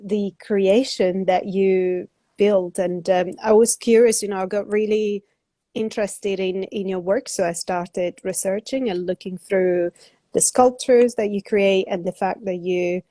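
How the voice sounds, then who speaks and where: A woman hosting a casual interview over a video call, speaking politely in halting phrases. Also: mid-range voice, steady tone, mellow, dry recording. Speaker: host, interviewer.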